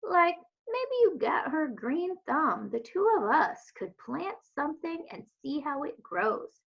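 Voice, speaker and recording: A woman voicing a kindly old lady from a children's storybook, folksy and unhurried. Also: high voice, big pitch swings, creaky, voice pushed up, exaggerated voice. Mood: gentle, reassuring. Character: kindly old Southern woman with poor eyesight, warm and folksy. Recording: dull lo-fi home recording.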